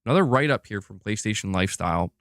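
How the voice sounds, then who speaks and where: Man, low voice, smooth and clear, casual streamer commentary, informal conversational delivery, dry close sound. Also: lively intonation, fast talker, a bit bright, no echo. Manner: informal, conversational, responding to chat. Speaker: host of a gaming news livestream. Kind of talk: casual gaming news commentary.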